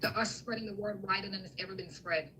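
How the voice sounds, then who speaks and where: A woman's voice: mid-range voice, steady tone, fast, sharp tone, poor audio. A woman from Guyana giving a fervent, emotional spiritual testimony on a live call, repeating herself as she preaches. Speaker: guest speaker on a live video call.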